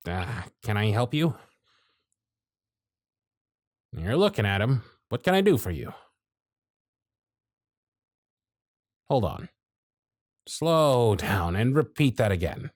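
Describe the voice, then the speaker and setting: A man's voice: on the low side, no echo, clean studio sound. A man voicing a harried, grumpy male elf supervisor in an audio roleplay, sarcastic and scolding. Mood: curious. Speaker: workshop supervisor.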